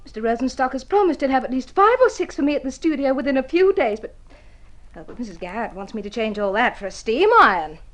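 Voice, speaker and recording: An Australian actress playing an earnest, excitable young newlywed pianist in a mid-century radio drama, breathless, hesitant and easily indignant. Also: female voice, a little high, fast. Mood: indignant, upset. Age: young adult.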